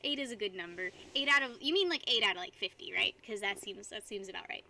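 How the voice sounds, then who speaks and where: A woman's voice: medium pitch, expressive, fast talker, vocal fry, pushed and bright. A young woman streaming live, chatting casually with her viewers and rambling between frequent giggles. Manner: giggly, rambling, reads chat aloud.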